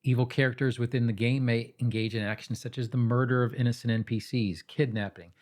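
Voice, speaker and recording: A man narrating a scripted tabletop roleplaying explainer in a measured, instructive way, with casual asides, speaking directly to the listener. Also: mid-range voice, steady tone, darkish tone. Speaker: host of a tabletop roleplaying advice channel.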